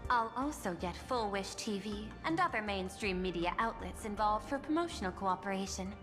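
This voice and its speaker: An actress voicing a poised, persuasive corporate executive in a video game, speaking in a polished, formal and measured way. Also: female voice, medium pitch, animated, in a large hall, over music, natural voice. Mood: confident, grand.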